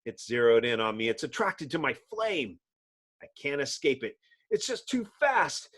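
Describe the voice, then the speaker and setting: Man acting out a cocky, excitable young hero. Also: mid-range voice, moderate pace. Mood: rueful, alarmed. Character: cocky hot-headed young man, excitable car-loving superhero.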